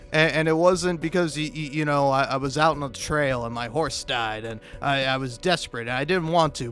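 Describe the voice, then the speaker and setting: A man with a slightly high voice talks over faint background music. He rambles through a comedic monologue, tripping over and repeating words as he interrupts himself. His delivery is sweary and self-deprecating. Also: fairly bright tone. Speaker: video maker.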